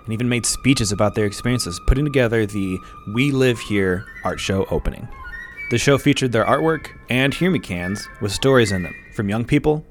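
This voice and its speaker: A man hosting a podcast, narrating a scripted segment in a measured way and spelling things out slowly. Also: medium pitch, lively intonation, soft background music. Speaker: podcast host.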